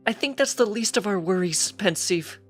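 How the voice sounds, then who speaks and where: A man's voice: high voice, expressive, moderate pace, a bit bright, soft background music, voice pushed up. A man voicing a careful, fretful female pony, a precise and chiding wife in a storybook reading. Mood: anxious.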